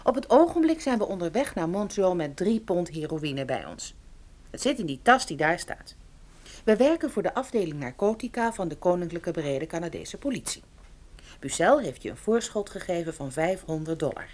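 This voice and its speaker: A woman playing a detective's level-headed female assistant in a Dutch radio play, speaking in a calm, firm and reassuring way. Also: on the low side, normal speed. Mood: firm, matter-of-fact.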